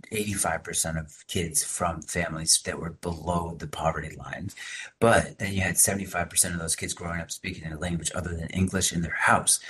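A man's voice: low voice, fairly bright tone, husky, poor audio. A male teacher and activist speaking emphatically as a podcast guest, often adding "right?" and "like" as he explains. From Massachusetts. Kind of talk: conversational podcast interview.

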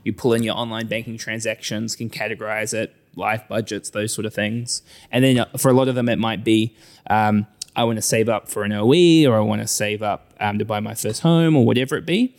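A man's voice: lowish voice, fairly even intonation, brisk, a little mellow. A man with a New Zealand accent talking as a financial adviser in a conversational interview, often voicing imagined clients to illustrate his explanations.

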